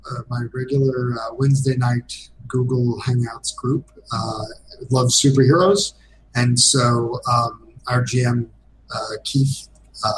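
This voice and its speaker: A man giving a casual interview as a game designer guest, speaking hesitantly with frequent pauses. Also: medium pitch, background noise.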